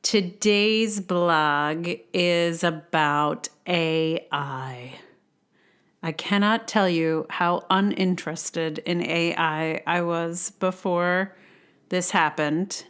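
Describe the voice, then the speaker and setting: A woman's voice: lowish voice, extremely slow, fairly bright tone, clear, resonant tone. A woman hosting a podcast, speaking in a conversational, wry way with emphatic stresses. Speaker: podcast host and blogger. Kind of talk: casual intro chat and read-aloud blog.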